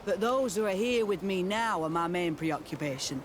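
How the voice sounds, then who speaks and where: A man's voice: high voice, animated, moderate pace, a little hiss or hum. A man playing a weary, embittered military captain in a video game cutscene, blunt and sardonic, loyal to his soldiers. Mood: bitter, exasperated.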